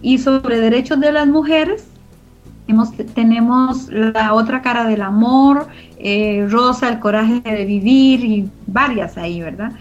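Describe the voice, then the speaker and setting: A woman with a fairly high voice explains her work in a spontaneous radio interview. The sound is a little dull, with soft music underneath. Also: a little slow. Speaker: community radio producer being interviewed.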